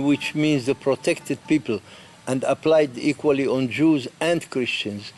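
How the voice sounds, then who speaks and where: An older man from Morocco recalling his childhood in a documentary interview, speaking earnestly and haltingly. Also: on the high side, a little mellow.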